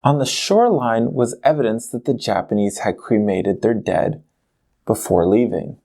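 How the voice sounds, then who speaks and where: A man's voice: lowish voice, normal speed, gravelly, dark tone. A man narrating a history documentary series in a conversational way, speaking directly to the viewers.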